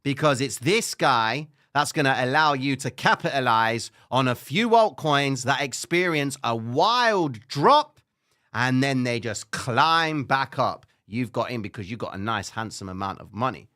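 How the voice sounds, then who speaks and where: Cypriot guy hosting a trading stream, punchy bright voice, keeps saying "all right?", goes loud then mumbly. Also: measured pace. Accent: Cypriot English. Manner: swings from loud animated preaching to low muttering asides; casual, frequent "all right?" tag questions, occasional swearing. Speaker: livestream trading host. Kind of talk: live stream chat reading viewer comments.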